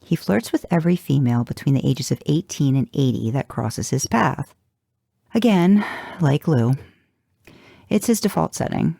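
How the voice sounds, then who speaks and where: A woman narrates first-person fiction in a dark, relaxed voice with a gravelly creak. Her delivery is wry and confiding, expressive like a storyteller, and the sound is close and dry. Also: sits low, moderate pace, no echo. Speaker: podcast host reading fan fiction.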